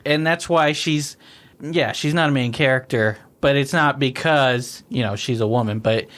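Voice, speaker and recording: A man's voice: mid-range voice, moderate pace, fairly bright tone. A man co-hosting a casual beer-tasting podcast, rambling through his points with lots of restarts and laughter. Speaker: podcast co-host. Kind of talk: casual chat over beers.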